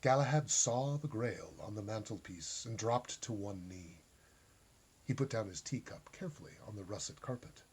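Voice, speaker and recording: Man narrating a story with lively pitch. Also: normal speed, breathy edge. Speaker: story reader. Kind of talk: expressive scripted storybook narration.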